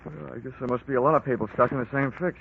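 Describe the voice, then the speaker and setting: A man's voice: medium pitch, fairly even intonation, racing, creaky, slight background noise. A man playing a wisecracking insurance investigator who narrates a 1940s radio detective drama in a dry manner. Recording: lo-fi old radio recording with music.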